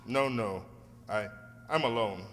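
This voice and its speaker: A man playing a polite, cautious lawyer in an amateur radio play, measured and hesitant, recorded in a reverberant hall. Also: on the low side, a bit bright. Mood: uneasy, firm. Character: polite, earnest gentleman lawyer, cautious and determined. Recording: reverberant hall, faint noise and hum.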